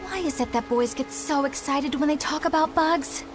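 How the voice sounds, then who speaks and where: Uma atriz interpretando uma jovem exorcista sincera, educada e formal. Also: female voice, sits high, normal speed, over music, lightly acted. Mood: earnest. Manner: polite, formal, earnest. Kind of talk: game dub acting.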